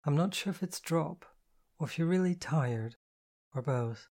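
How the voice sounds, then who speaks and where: A narrator voicing a sleepy, kind woman who reassures and gently takes command in an audiobook story. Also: mid-range voice, expressive, unhurried. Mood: kind, drowsy. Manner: drowsy, reassuring, evenly commanding.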